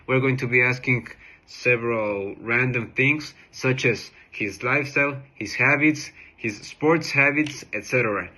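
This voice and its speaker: A man's voice: mid-range voice, measured pace, hardly any pauses, pushed and bright. A man presenting as a show host, introducing an interview in an emphatic, announcer-like way.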